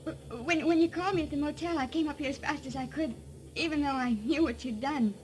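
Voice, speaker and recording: Woman playing a high-voiced heartbroken young woman. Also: fairly quick, close to an everyday voice, poor audio. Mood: pleading, heartbroken. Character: heartbroken lovesick young woman, pleading and shocked. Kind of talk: 1950s radio melodrama acting.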